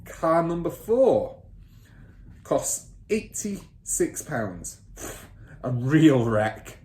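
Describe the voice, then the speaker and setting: A man voicing a boastful, bullying father and crooked car dealer in a children's storybook, loud, pompous and emphatic. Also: on the high side, big pitch swings, drawn-out words, voice pushed up, heavily acted. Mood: smug, emphatic. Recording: roomy echo, faint background noise.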